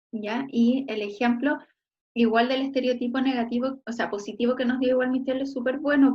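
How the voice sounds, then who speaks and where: A woman's voice: on the high side, highs cut off. A Chilean woman teaching an online class, checking in with her students often and praising their examples. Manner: frequent checking "¿ya?" tags, encouraging.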